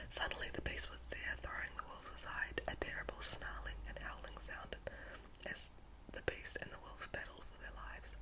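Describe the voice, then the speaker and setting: A woman reading a storybook as ASMR in a breathy, unvoiced whisper very close to the microphone, now and then stumbling and repeating words. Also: low-quality recording. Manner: halting read with stumbles and repeats.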